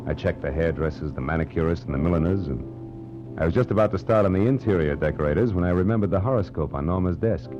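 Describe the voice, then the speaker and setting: An American actor playing a world-weary, wry private detective in 1940s radio drama style, with deliberate, hard-boiled delivery. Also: male voice, extremely low pitch. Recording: dull 1940s radio broadcast, music behind.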